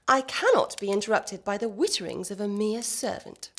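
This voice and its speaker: A woman's voice: mid-range voice, lively intonation, normal speed. A woman playing a haughty, scheming noble lady in a comic period radio play, imperious, exasperated and grandly wordy. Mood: imperious, pondering.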